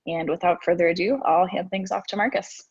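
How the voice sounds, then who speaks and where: A woman's voice: lowish voice, fairly even intonation, fairly quick, slightly rough, muffled recording. A woman moderating a webinar, reading a prepared introduction with a few fillers. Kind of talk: scripted introduction.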